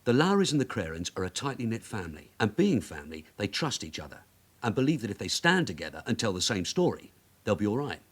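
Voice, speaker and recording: An actor playing a shrewd, middle-aged detective sergeant in a radio crime drama, brisk, persuasive and controlled. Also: male voice, fairly low pitch, big pitch swings. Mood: urgent, determined. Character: shrewd veteran London detective sergeant, wily and insistent.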